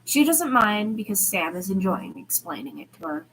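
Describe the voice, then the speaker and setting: A woman's voice: medium pitch, very animated, moderate pace, a bit bright, a little hiss or hum, poor audio. A woman narrating a story as an amateur audiobook reader, informal, with the occasional small stumble. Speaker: podfic narrator. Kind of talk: amateur fiction reading with character dialogue.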